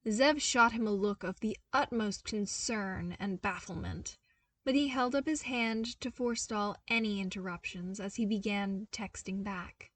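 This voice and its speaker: A woman's voice: medium pitch, unhurried, fairly bright tone, distant mic. A woman reading scripted fan fiction as an audiobook narrator. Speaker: podfic narrator.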